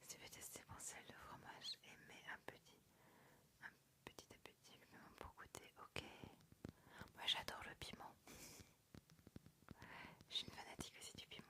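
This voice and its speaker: A woman's voice: moderate pace, long pauses. A woman whispering as an ASMR streamer, chatting casually and answering viewer comments, rambling with many fillers. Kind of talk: whispered ASMR live chat.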